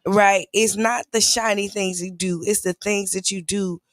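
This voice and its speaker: A woman with a hoarse, raspy voice co-hosts a casual morning talk show, recapping her weekend in a chatty storytelling way. Her voice sits at an ordinary pitch and sounds close and dry with no room around it. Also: steady tone, normal speed, no room sound. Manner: chatty, storytelling, laughs easily. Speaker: radio show co-host.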